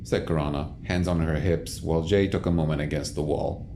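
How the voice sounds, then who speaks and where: A man's voice: very deep voice, fairly even intonation, brisk, background noise. A man narrating a scripted science fiction audio drama as its storyteller. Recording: music bed under the voice.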